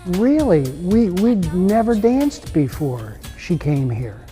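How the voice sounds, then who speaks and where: Relaxed older man telling anecdotes. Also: high voice, slow pace, faint music behind. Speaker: interviewee, husband. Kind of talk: casual interview talk.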